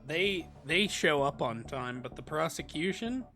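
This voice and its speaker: Slow, gravelly-voiced man streaming a game. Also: a little high, lively intonation, bright, forceful tone, soft background music. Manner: rambling, chuckling asides, frequent throat clearing. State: hoarse from a sore throat. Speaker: streamer playing a video game. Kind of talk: casual let's-play commentary.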